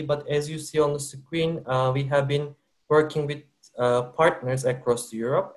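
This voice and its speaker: Slightly high-voiced man hosting a webinar. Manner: measured, hesitant with fillers. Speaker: webinar host. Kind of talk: corporate webinar presentation. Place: works for a company based in Turkey.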